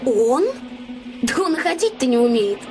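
A woman's voice: fairly high pitch, big pitch swings, normal speed, a little mellow, faint music behind. A woman playing an anxious young innkeeper's daughter in a fairy-tale play, pleading earnestly. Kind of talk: satirical fairy-tale radio play acting.

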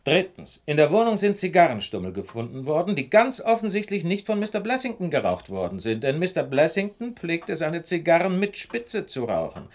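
An actor playing a brilliant detective in a German radio play, precise and didactic, with ironic, condescending politeness. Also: male voice, on the high side, very animated, normal speed, few pauses. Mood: emphatic, lecturing. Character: brilliant male detective, superior, calmly lecturing, ironic.

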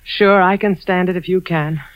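An actress playing an evasive, outwardly composed wife in a 1940s radio drama. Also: female voice, lowish voice, steady tone, moderate pace, close to an everyday voice. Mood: resigned. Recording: dull, crackly 1940s radio recording.

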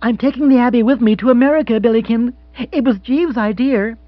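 Man putting on a high, gushing wealthy older lady. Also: a little fast, no echo, lo-fi sound, soft background music. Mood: breezy, decided. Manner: effusive, decisive. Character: gushing, imperious wealthy American widow.

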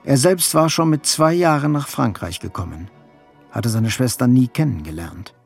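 A male narrator tells a literary story for a radio play. His voice is mid-pitched and creaky, with faint music behind it. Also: lively intonation, moderate pace.

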